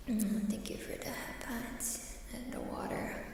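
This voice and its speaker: A woman live streamer chatting casually in ASMR style, soft-spoken and often slipping into a whisper, musing and repeating her phrases. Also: medium pitch, wildly swooping pitch, a little slow, creaky, distant mic. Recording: heavily echoing reverberant room sound, faint noise.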